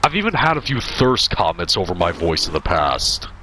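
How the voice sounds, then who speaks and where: A man in his twenties with a deep voice, chatting casually while streaming gameplay and answering his viewers. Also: normal speed, slight background noise. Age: 20s. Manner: relaxed, chatty, answering chat. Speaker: gaming streamer.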